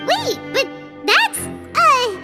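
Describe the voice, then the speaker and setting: Tiny bouncy flying sidekick girl, very high and sing-song, game music loud behind. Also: very animated, extremely slow, exaggerated voice. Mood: indignant. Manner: speaks of herself in third person, bouncy. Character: small chirpy female flying companion.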